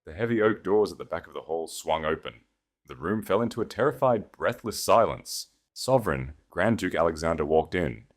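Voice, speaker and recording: A man reading scripted dramatic fiction as narrator, in a measured, solemn and theatrical manner. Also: low-pitched, animated.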